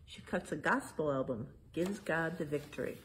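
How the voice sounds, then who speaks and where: A middle-aged woman reading a picture book aloud to children in a museum hall, spelling out each page title and then explaining it gently. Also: low-pitched, moderate pace, a little hiss or hum. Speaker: museum storytime reader. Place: indoor museum exhibit hall.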